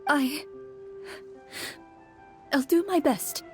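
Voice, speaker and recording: Woman acting a high-voiced anxious young wife. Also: drawn-out words, faint music behind. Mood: worried, grateful. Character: anxious townswoman worried for her missing husband.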